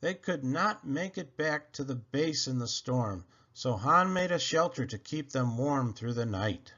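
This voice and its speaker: Male storybook reader, unhurried, dramatic stresses, slightly muffled lo-fi recording. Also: mid-range voice, lively intonation, sharp tone. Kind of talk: scripted read-aloud storytelling. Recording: dull, lo-fi sound.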